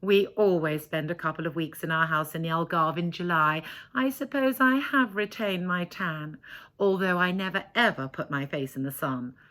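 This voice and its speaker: A woman's voice: lowish voice, moderate pace, fairly bright tone, natural voice. Storybook queen bee played by a woman reader: haughty, genteel, competitive, condescending. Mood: haughty, boastful. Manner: patronising, genteel, airy. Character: snobbish, competitive, self-satisfied village queen bee wife.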